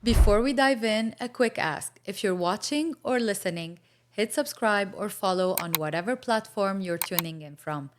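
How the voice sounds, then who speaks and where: A woman with a medium-pitched voice hosts an interview podcast. She introduces her guest and poses questions in an upbeat tone, with short affirmations along the way. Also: expressive, moderate pace, few pauses, slight background noise. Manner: upbeat, with brief affirming backchannels. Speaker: podcast host. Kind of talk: interview hosting.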